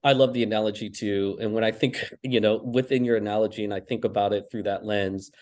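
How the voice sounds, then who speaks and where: A man speaking as an interview guest in a relaxed, conversational way, sprinkling his talk with "you know" and short laughs. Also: lowish voice, fast talker.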